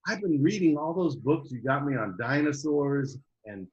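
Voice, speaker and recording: A middle-aged man speaking as an interview guest, telling personal stories in an animated, conversational way. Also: on the high side, normal speed, highs cut off, slight background noise. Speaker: guest, homeschooling parent.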